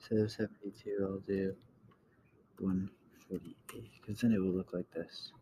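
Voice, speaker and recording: A man with a low voice walks through a casual screen-recorded tutorial. He speaks slowly with little melody, halting and trailing off as he thinks aloud. There is background noise with keyboard clicks behind him. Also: flat delivery, warm tone. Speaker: tutorial host.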